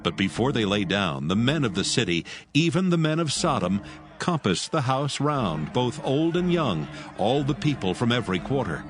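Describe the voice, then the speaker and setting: A man narrates scripture, measured and solemn. His pitch is middling for a man. Music plays clearly beneath the reading. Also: big pitch swings.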